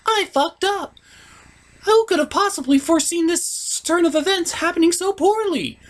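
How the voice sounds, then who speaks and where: A man's voice: very high-pitched, normal speed, pitched above the performer's own voice. A man voicing a defensive, easily flustered young female princess pony in a comic puppet show. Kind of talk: comedic puppet-show review parody.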